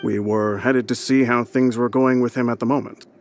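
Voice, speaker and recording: An actor plays a calm gentleman retainer in a video game. His medium-pitched voice is formal and measured, over faint ambient sound. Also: a little hiss or hum, lightly acted. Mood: polite concern.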